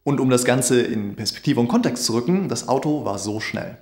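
A veterinarian in his thirties talks straight to camera in a scripted explainer, earnest and emphatic. His voice sits at an ordinary mid pitch. The room gives it a noticeable echo. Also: expressive, brisk, darkish tone. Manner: earnest, emphatic, gestures with hands. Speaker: veterinarian YouTuber. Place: dim home studio with hanging bulbs. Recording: roomy echo.

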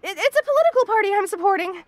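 Bunny girl roommate, roleplay audio: tiny sky-high voice, flustered, evasive, stammering, dry recording. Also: animated, very fast, no echo. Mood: nervous, evasive. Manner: stammering, nervous, flustered denial. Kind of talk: roleplay audio acting, addressed to the listener.

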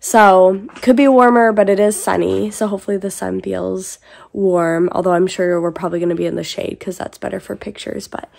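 Woman, 30s, mid pitch, slightly congested, casual rambling vlog delivery, phone mic at home. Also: fairly even intonation, brisk, gravelly, a little mellow. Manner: chatty, rambling with many ums. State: stuffed up, congested. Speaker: vlogger. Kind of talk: casual vlog chat to camera. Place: home basement playroom, indoors. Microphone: phone held close to the face.

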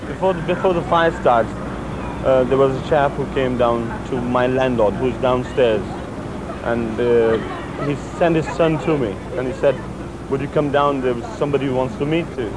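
A man in his forties, a business owner, telling what he saw in a halting way with repeated words, interviewed outdoors on a street. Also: mid-range voice. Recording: noisy outdoor news footage.

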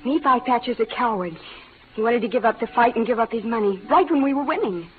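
An actress playing a spirited, romantic young frontier bride in an old radio western, speaking with earnest melodrama. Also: female voice, fairly high pitch, quick pace, close to an everyday voice. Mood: yearning. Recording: tinny, noisy old radio recording.

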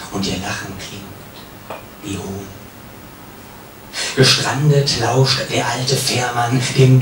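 A man with a mid-pitched voice recites poetry solemnly and very slowly, leaving pauses and letting line endings drop away. He is recorded live from some distance, with heavy room echo and clear background noise. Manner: solemn, measured, with pauses and falling phrase endings. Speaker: poet reading own work. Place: café, live reading.

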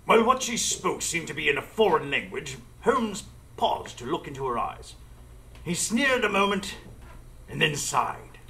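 An actor playing an earnest gentleman narrator, telling a detective tale formally in a live radio drama. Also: on the high side, very animated, a little slow, vocal fry, a little hiss or hum, full character voice. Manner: formal storytelling. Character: Doctor Watson, earnest literary gentleman narrator.

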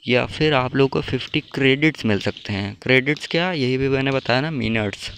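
A man's voice: medium pitch, brisk, fairly bright tone, a little hiss or hum. A young man giving a casual, informal app tutorial, talking to viewers like buddies. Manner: informal, addresses viewers as bro. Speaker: tech tutorial YouTuber.

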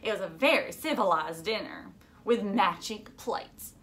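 A woman's voice: medium pitch, expressive, a little slow, fairly bright tone. A woman voicing a dramatic, stubborn, anxious schoolgirl in a storybook, with an insistent, prim manner.

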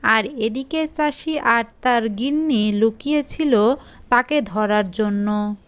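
A woman narrates a children's fairy tale in a high, clear voice. Her scripted storytelling moves slowly, each sentence set out on its own. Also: no room sound.

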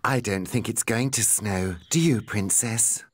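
A British man playing a genteel, gently teasing narrator in a children's cartoon, with a knowing manner. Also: fairly low pitch, measured pace.

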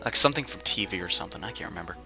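A man's voice: low voice, lively intonation, racing. Rambling young man over game music. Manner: rambling, chatty, self-deprecating, frequent fillers. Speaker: let's play commentator. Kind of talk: casual gameplay commentary.

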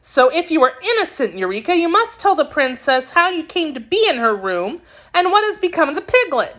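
A woman voicing an anxious, earnest young girl in a children's storybook, excitable and insistent. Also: sits high, a little fast, voice pushed up.

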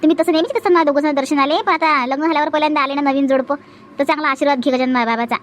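A woman's voice: high voice, steady tone, very fast, slight background noise, natural voice. A woman voicing a bossy, chatty cartoon village aunt with a Vidarbha Marathi accent, nagging and repeating herself. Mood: instructing affectionately. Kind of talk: exaggerated comic cartoon dialogue.

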